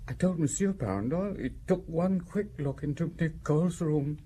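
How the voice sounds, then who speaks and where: A nervous, lovelorn male secretary played by an actor with a dark, muted tone. He falters as he speaks, badly shaken, in radio drama style. Faint ambient noise lies behind him. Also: fairly high pitch, moderate pace, close to an everyday voice.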